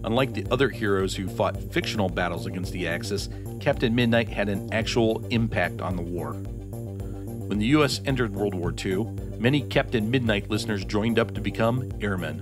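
Low-pitched man narrating, music behind. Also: normal speed. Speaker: documentary narrator. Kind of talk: scripted narration. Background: background music.

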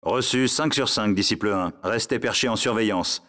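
A man's voice: fairly low pitch, normal speed, pushed and bright. A man playing a stern, authoritative military base dispatcher in a video game, giving clipped radio orders. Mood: stern, businesslike.

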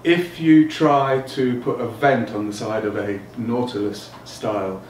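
A middle-aged man giving a technical explanation as an interviewed designer, halting and thinking aloud as he goes. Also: medium pitch, steady tone. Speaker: loudspeaker designer being interviewed. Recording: roomy, distant mic, noticeable background noise.

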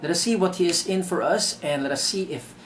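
A man's voice: sits high, brisk, slight background noise. A young man with a Filipino accent speaking casually to camera as host of a reaction channel. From Philippines. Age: young adult. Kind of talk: casual vlog commentary to camera. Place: indoors by a brick wall.

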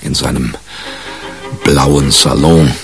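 An actor playing a disgruntled, self-mocking newspaper reporter in a radio crime drama, colloquial and grumbling. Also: male voice, extremely low pitch, fairly even intonation, slow, creaky, over music, exaggerated voice. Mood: rueful, self-mocking. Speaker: actor narrating as the lead character.

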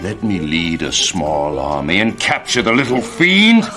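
An actor playing a middle-aged, scheming royal chancellor in a comic video game, smug and theatrical with drawn-out insinuation. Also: male voice, sits low, huge pitch swings, sharp tone, soft background music, full character voice. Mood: alarmist, urging.

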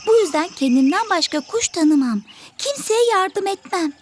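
A woman's voice: very high voice, animated, normal speed, a little hiss or hum. A woman voicing a selfish, grumpy bird in an educational cartoon, speaking in a haughty, brusque, scolding manner. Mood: smug, defensive.

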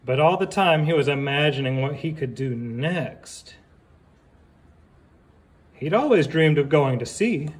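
A man reading a picture book aloud like a teacher, deliberately, with casual asides and questions for young listeners. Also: a little high, lively intonation.

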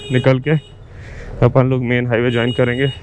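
A man's voice: mid-range voice, completely flat delivery, normal speed, a little mellow. A man with an Indian accent gives casual motovlog commentary, switching between Hindi and English. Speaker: motorcycle vlogger. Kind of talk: casual ride vlog commentary. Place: outdoors, riding a motorcycle. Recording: noisy helmet-mic recording, engine and wind. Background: vehicle and road noise.

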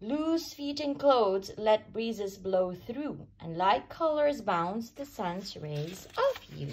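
A woman reading a rhyming children's picture book aloud as a storyteller, with expressive, deliberate sing-song phrasing for young kids. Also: mid-range voice.

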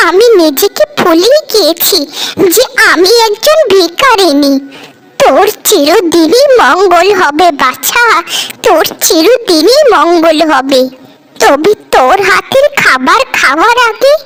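A woman voicing a grateful old beggar woman in a cartoon, slow and solemn as she blesses. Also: extremely high pitch, fairly bright tone, heavily acted. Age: older. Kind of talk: cartoon voice acting.

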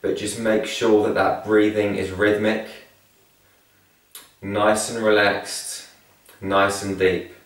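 Heard in a heavily reverberant space, a little away from the mic, a man guides a meditation. His voice sits fairly low and moves very slowly. He is calm and soothing, leaving long, measured pauses between phrases. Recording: very echoey room, distant from mic.

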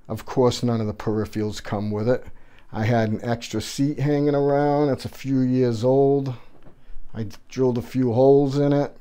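A man's voice: mid-range voice, dark tone, clear, resonant tone. A middle-aged man hosting a tech vlog, talking casually and loosely through a home-built setup in his gaming room while filming with a handheld phone. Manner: casual, rambling, slangy. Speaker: tech and gaming channel host. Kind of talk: casual build walkthrough vlog. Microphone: handheld phone camera.

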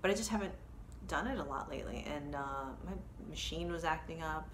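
A woman's voice: low-pitched, a little fast, background noise. A woman in her thirties chatting to camera from her living room, rambling and correcting herself with many ums. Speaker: cross-stitch vlogger. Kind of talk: casual chat to camera. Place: living room with kids' toys, indoors. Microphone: phone camera at arm's length.

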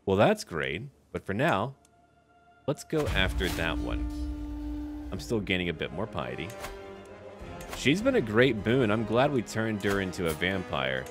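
A man's voice: low voice, wildly swooping pitch, measured pace, pauses often. A man giving casual video game commentary, musing aloud and addressing the characters as he plays. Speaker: gaming video narrator. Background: background music.

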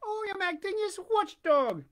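A man voicing a wooden puppet boy, speaking high, prim and emphatic in a storybook reading. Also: big pitch swings, moderate pace, a bit bright, full character voice. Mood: indignant.

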